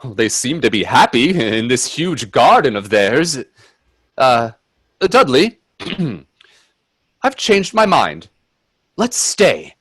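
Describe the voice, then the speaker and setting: An actor playing a timid, wonder-struck gentleman companion in a radio play, with genteel, hesitant delivery. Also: medium pitch, sing-song, slow, pauses often, dry recording, heavily acted. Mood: mild, agreeable. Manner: hesitant, stammering in dialogue, genteel phrasing. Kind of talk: radio drama acting and first-person narration. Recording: husky dry close audio from video-call performance.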